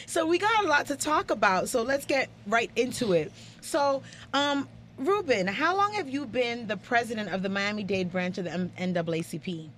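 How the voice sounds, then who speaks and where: A woman's voice: on the high side, expressive, normal speed, a bit bright. Informal woman hosting, with faint background noise. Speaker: radio show host. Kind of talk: conversational community talk show interview. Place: live radio studio.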